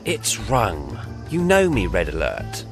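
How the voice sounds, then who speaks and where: A man playing a mild, weary, gently reproachful robot psychiatrist. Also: lowish voice, wildly swooping pitch, slow, over music, heavily acted. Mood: patient, hurt. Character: mild, long-suffering male robot psychiatrist.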